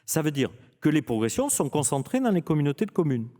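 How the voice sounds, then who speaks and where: A man's voice: a little high, very animated, quick pace, warm tone. A man giving an expert seminar presentation in a didactic way, posing rhetorical questions and answering them himself. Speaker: finance consultant presenting at a seminar. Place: large indoor conference venue. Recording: reverberant seminar hall.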